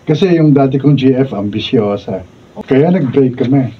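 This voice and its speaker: A man's voice: medium pitch, lively intonation, moderate pace, natural voice. Hesitant Filipino professor acted by a man, muffled. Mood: gentle, amused. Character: smitten, hesitant thirty-eight-year-old male professor. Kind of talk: Filipino radio drama acting. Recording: muffled, distant mic, faint noise.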